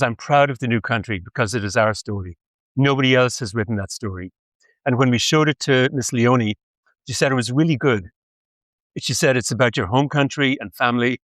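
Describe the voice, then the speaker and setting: An older man lecturing, speaking in a measured way with frequent pauses and hesitations. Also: medium pitch, fairly bright tone, no room sound. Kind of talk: academic lecture.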